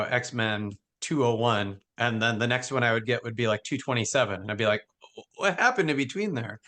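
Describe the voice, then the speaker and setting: Male podcast host, upbeat and chatty, casual interview tone, ordinary middle pitch. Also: pushed and bright. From Michigan. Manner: chatty, upbeat, frequent ums and laughs.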